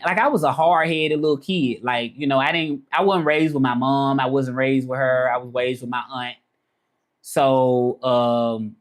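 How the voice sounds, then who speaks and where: A man in his thirties with a Memphis Southern accent, chatting casually on a live stream. His voice sits a little high for a man, and he rambles, circling back and repeating his points. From Memphis, Tennessee. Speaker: vlogger answering live chat.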